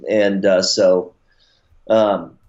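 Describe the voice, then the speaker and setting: A man in his forties with a lowish voice tells his story as an interview guest, rambling, full of fillers and restarts. He turns lively when he quotes people. The audio is dull and lo-fi. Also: drawn-out words. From born in Taiwan, raised in the United States. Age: 40s. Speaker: interview guest, martial arts promoter. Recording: dull lo-fi sound.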